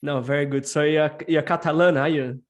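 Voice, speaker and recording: Upbeat higher-voiced male podcast host. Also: fairly even intonation, brisk. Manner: enthusiastic, friendly questioning. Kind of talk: podcast interview.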